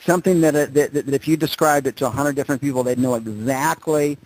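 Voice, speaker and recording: A man's voice: medium pitch, fairly even intonation, fast talker, darkish tone, poor audio. A man leading a group conference call as a coach, directing the other person with probing questions.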